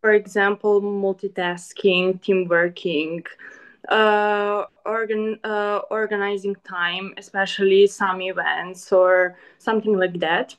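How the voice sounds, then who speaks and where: Slow, hesitant woman. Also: mid-range voice, flat delivery. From Serbia. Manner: hesitant, many "uh" pauses. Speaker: former master's student and business owner. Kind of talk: panel interview answer.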